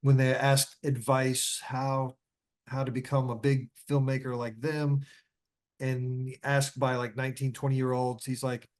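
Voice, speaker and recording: A man with a medium-pitched voice talks casually as an interview guest. He reflects in long, loosely linked phrases, often repeating a word as he goes. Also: little pitch movement, unhurried.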